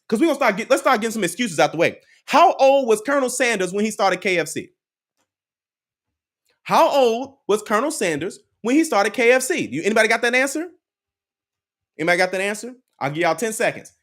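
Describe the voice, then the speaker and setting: A man's voice: high-pitched, fairly bright tone. A man hosting a live credit advice stream, preaching to his viewers in a repetitive, motivational way and reacting to the chat with laughs. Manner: preachy, repeats key lines, laughs often, talks to the chat. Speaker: credit advice livestream host.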